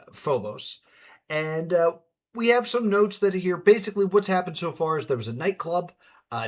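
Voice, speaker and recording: A man hosting a let's play, giving casual commentary in a halting, stammering way while sick. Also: mid-range voice. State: sick, sluggish.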